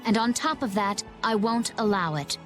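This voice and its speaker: A woman voicing a composed, firm, mysterious woman warrior in a video game, deliberate in manner. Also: mid-range voice, fairly bright tone, faint music behind, lightly acted.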